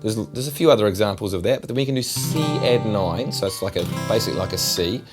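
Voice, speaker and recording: A middle-aged man with a New Zealand accent, giving a relaxed, chatty guitar lesson. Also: lowish voice, fast talker. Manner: relaxed, chatty, repeats himself while demonstrating. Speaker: guitar teacher. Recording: loud guitar over the voice. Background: acoustic guitar playing.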